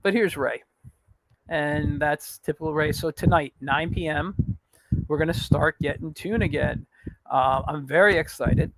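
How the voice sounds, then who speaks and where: A man talks loosely to his livestream viewers, trailing into fillers and restarts as he goes. His voice sits a little high and has a gravelly, fry-laden edge. It turns raspy now and then. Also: measured pace, a little hiss or hum, poor audio. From New York. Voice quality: raspy at times. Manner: rambling, frequent fillers and restarts. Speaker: podcast host. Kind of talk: casual livestream announcement.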